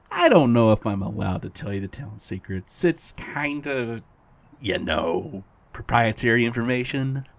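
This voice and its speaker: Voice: mid-range voice, very animated, measured pace, gravelly, no room sound. An actor in an audio drama playing a playful, petty, non-human best friend who teases in an exaggerated, theatrical way. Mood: wary, evasive. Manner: teasing, chuckling, exaggerated.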